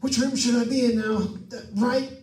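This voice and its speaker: A man's voice: very high-pitched, steady tone, normal speed, a little mellow. A man playing a nervous, chatty radio host alone in a haunted house, stammering and rambling in an old-time radio suspense style. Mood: panicked. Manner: stammering, jittery, rambling with forced cheer. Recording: echoey room, distant microphone.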